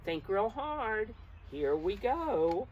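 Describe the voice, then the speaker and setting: A woman preschool teacher reading a picture book aloud to young children, expressive and coaxing, often putting questions straight to her listeners. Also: medium pitch, extremely slow, muffled recording, slight background noise.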